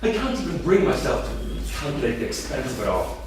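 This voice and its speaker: A man's voice: medium pitch, huge pitch swings, a little fast. A man playing a harried, penny-minded husband in a staged reading, dry and worrying in manner. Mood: irritated. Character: Samuel, harried penny-minded middle-aged husband and father. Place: theatre stage, live reading. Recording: distant, very echoey theatre with noise.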